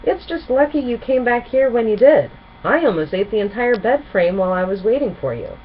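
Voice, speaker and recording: A woman voicing an excitable, cheeky little boy dragon while reading a storybook. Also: fairly high pitch, a little fast, recorded from a distance, pitched above the performer's own voice, close to an everyday voice. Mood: eager. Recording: muffled home recording with clear noise.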